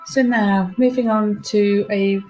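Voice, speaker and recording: A woman leading a guided relaxation meditation, giving soothing, unhurried instructions with pauses. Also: mid-range voice, fairly even intonation, mellow, poor audio. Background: music behind the voice.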